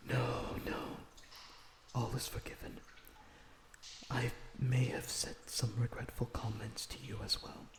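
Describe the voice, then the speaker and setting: A man playing a gentle, earnest vampire prisoner in an ASMR roleplay, soothing and pleading in a breathy, slightly formal way. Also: mid-range voice, level tone, slow, pauses often, noisy background, close to an everyday voice. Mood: tender, soothing. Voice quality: breathy, often dropping to a whisper. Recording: roomy echo on the voice.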